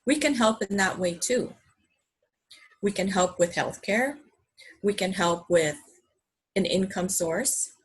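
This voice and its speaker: A woman's voice: mid-range voice, animated, slow pace, pauses often, husky, rough recording. A woman from Hawaii giving an earnest, enthusiastic motivational presentation, often asking her listeners rhetorical questions. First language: English. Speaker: business presenter.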